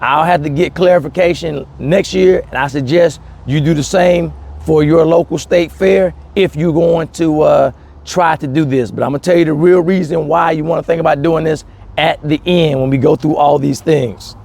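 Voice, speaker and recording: A man talking casually and excitedly to viewers as a gardening host, emphatic and repeating key words, recorded outdoors. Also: on the high side, normal speed, creaky. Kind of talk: casual, enthusiastic talk to camera.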